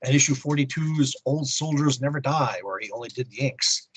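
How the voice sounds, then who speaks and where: A man co-hosting a podcast, giving prepared comic-book review commentary in a husky voice. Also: medium pitch, pushed and bright, poor audio. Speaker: podcast co-host.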